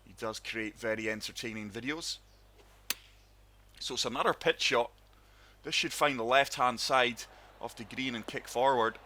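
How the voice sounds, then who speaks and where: A man with a sore throat, hosting his gaming channel and narrating a golf game in calm, steady play-by-play. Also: medium pitch, normal speed. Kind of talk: casual gameplay commentary.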